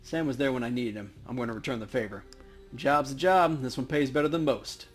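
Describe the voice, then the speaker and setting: A man's voice: medium pitch, fairly quick, faint music behind. A man co-hosting a let's play, casually chatting and reading out the player's choices.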